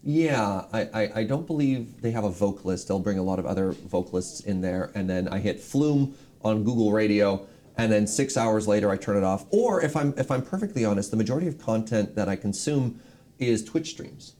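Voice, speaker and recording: A man's voice: on the low side, lively intonation, brisk, mellow. A man on a panel chatting casually, explaining himself in loose, hedging fragments. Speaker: panelist on a charity stream. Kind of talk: casual chat.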